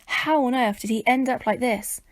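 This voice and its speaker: A woman reading a children's story aloud as expressive narration, with a dramatic, measured delivery. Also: a little high, dry recording.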